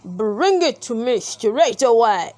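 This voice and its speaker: A sly, evil storybook magician played by a woman, bossy and short-tempered. His voice sits high for a villain and swings dramatically as he bellows out slow, commanding orders. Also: big pitch swings, darkish tone, dry recording. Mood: commanding, angry.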